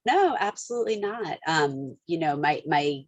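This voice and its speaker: Low-voiced woman, dry close sound. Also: animated, normal speed, no room sound. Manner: chatty, storytelling, laughs easily. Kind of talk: webinar conversation.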